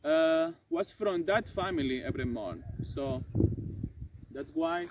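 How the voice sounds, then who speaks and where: Dull-sounding recording of a halting, higher-voiced man as an ex-prisoner doctor. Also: wildly swooping pitch, vocal fry, full character voice. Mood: solemn recounting. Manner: halting, hesitant, self-correcting delivery. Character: aging French doctor, former Bastille prisoner, regretful father-in-law. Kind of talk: amateur dramatized monologue retelling past events. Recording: dull lo-fi sound, faint noise.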